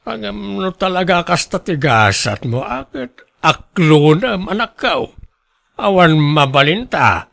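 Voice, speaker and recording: A man acting as a plain-spoken Ilocano father in a radio drama. His voice sits fairly high for a man, with a gravelly creak and lively swings in tone. The Filipino Ilocano accent is clear. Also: slow, exaggerated voice. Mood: resigned, advising.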